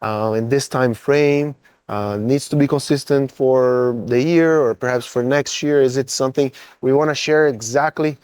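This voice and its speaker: A Brazilian-accented man talks through his work in an earnest, conversational way. His voice sits at an ordinary pitch and he often checks in with "right?". The sound is close and dry. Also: steady tone, normal speed, a little mellow, smooth, dry recording. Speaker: coffee importer co-founder. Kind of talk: casual interview answers.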